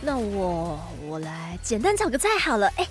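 A woman's voice: mid-range voice, very animated, moderate pace, faint music behind, natural voice. A woman acting as a carefree, coaxing young dorm mate in an audio drama, speaking Taiwanese Mandarin. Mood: breezy, cajoling.

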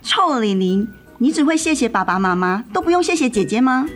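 一位女演员在广播剧中饰演十七岁、爱赌气又叛逆的姐姐。. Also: female voice, on the high side, big pitch swings, brisk, soft background music. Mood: sulky. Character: sulky, defiant seventeen-year-old girl, elder sister. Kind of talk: radio drama acting.

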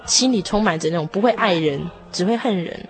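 A woman's voice: mid-range voice, lively intonation, a little fast, soft background music. A woman hosting a conversational religious talk in an earnest, reassuring manner. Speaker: radio show host.

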